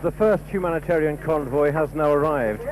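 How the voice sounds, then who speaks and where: A man's voice: on the high side, moderate pace, a crowd in the background, low-quality recording. A man narrating a scripted television news report.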